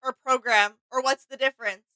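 Young woman, bright higher voice, chatting casually. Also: fairly even intonation, brisk, poor audio. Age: 20s. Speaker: reaction channel co-host. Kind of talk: casual chat. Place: small indoor home studio room.

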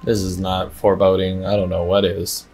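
Deep, creaky-voiced male streamer. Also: monotone, normal speed, a little mellow, soft background music. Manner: joking, muttered short asides. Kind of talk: casual reaction commentary. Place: indoor home room. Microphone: desk streaming mic.

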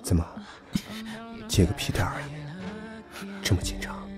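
A man's voice: a little slow, music in the background, close to an everyday voice. A man acting a protective, possessive young lover in a Chinese audio drama, speaking in a restrained, intimate way. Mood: teasing, intimate.

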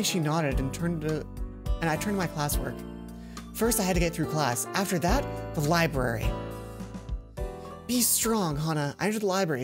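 Young man, husky voice, let's play host, story read-aloud and casual commentary, faint music underneath. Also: sits high. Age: young adult. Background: faint game music.

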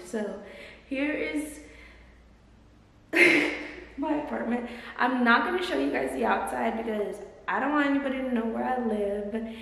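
A woman's voice: fairly high pitch, vocal fry, a little hiss or hum. A young woman chatting effusively to a phone camera held at arm's length in an empty apartment. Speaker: vlogger. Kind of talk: casual vlog chat. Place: large empty apartment, indoors. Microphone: handheld phone camera at arm's length. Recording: echoey empty room with long reverb.

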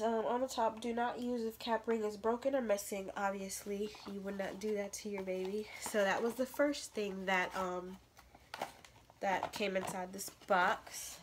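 A young woman sounding a little stuffy, talking loosely and rambling, with plenty of ums. She speaks at a middle pitch to a camera set up close in a bedroom. A faint hiss sits under the recording. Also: fairly even intonation, a little slow. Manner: chatty, rambling with many fillers. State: stuffy nose. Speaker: vlogger. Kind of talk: casual unboxing vlog. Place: bedroom with a crib, indoors.

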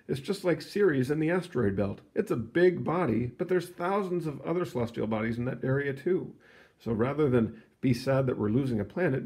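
A man reading a children's science book aloud in an expressive, explanatory storytelling manner. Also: medium pitch, breathy edge. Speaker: read-aloud narrator.

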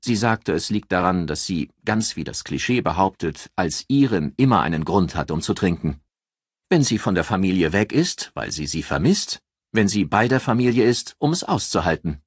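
A man voicing an amused, chatty countess who tells anecdotes in a lively, teasing way in an audiobook. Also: sits low, moderate pace, slightly rough, no room sound, studio quality, exaggerated voice. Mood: wry, teasing. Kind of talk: audiobook character dialogue.

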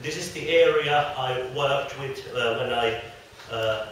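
A man's voice: medium pitch, slow pace, a bit bright, distant mic. A man whose first language is Finnish giving an academic conference lecture, hesitant with many filler sounds. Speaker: conference speaker. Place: dim lecture hall with projected slides. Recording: roomy hall sound from afar, faint noise.

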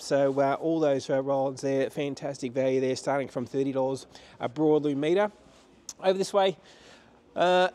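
Male auction presenter, slightly high voice, matter-of-fact salesman delivery, ad-libbed walkthrough. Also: darkish tone. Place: large warehouse, walking about.

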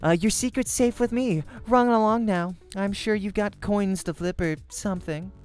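A man voicing a sharp, bossy young woman gamer who scolds and taunts, in a visual novel. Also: high voice, normal speed, clean tone, faint music behind, voice pushed up, close to an everyday voice. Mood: mocking.

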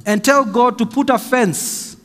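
A middle-aged Kenyan man preaching a slow, emphatic sermon from a pulpit stand mic in a large church hall. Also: very high-pitched, a bit bright. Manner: slow and emphatic, raising his voice for stress. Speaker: pastor. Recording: long hall reverb, faint music.

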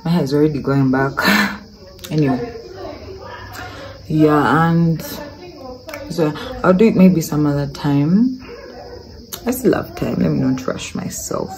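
Low-voiced chatty young woman vlogging. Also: measured pace, pauses often, a little hiss or hum, rough recording. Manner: chatty, laughing asides. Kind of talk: casual vlog narration. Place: campus cafeteria, salon, home room. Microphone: phone camera held at arm's length. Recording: loud music and crowd behind.

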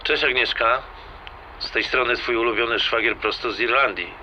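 Audio drama caller: friendly, reassuring brother-in-law, very sharp bright tone, medium pitch, slight noise. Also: male voice, moderate pace, highs cut off. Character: cheerful, reassuring brother-in-law phoning from abroad. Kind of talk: audio drama acting, phone conversation.

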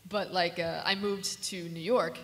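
A woman's voice: on the low side, steady tone, a little slow. A woman chatting casually as a panelist in front of a room. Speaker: convention panelist, voice actor. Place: convention panel room with audience. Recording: echoey hall, faint background noise.

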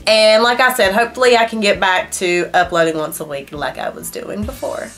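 A woman's voice: medium pitch, brisk, fairly bright tone, soft background music. A middle-aged woman, a beauty vlogger, reviewing makeup to camera in a casual, emphatic way, her nose stuffed up from a cold. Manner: chatty and emphatic, talking straight to viewers. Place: small home room. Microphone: camera facing her at desk distance.

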